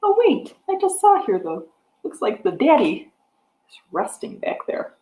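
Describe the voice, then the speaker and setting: Animated American woman leading children's storytime. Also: sits high, measured pace, roomy sound. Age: middle-aged. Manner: simple explanations with gentle questions to children. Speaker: children's librarian hosting storytime. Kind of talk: picture-book read-aloud and craft talk to young children. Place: room at home with wooden floor and piano. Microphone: device microphone at about arm's length.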